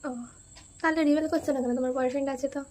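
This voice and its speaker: A woman's voice: sits high, fairly even intonation, rapid-fire, background noise. A young woman chatting casually on a prank call, teasing and insistent, with asides to viewers. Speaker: prank caller, vlogger.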